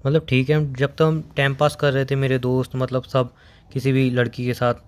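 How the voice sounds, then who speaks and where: A young man, speaking quietly at a mid pitch. His voice is hoarse and tearful. He talks haltingly on a phone call, reluctant at first and hesitant as he goes on. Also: little pitch movement. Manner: subdued, halting, reluctant to open up. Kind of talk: emotional phone conversation.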